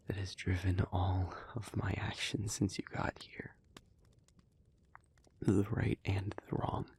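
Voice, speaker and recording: Man voicing a husky, whispering, remorseful demon. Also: sits low, heavily acted. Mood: devoted, remorseful. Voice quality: breathy, husky, near-whispered. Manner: slow, halting, earnest, with long pauses. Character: remorseful, devoted male demon, gentle and solemn. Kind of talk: intimate audio roleplay addressed to the listener.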